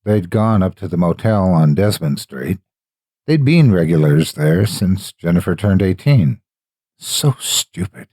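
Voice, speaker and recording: A man narrating a scripted horror story in a measured, theatrical, emphatic delivery. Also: low voice, warm tone, no echo.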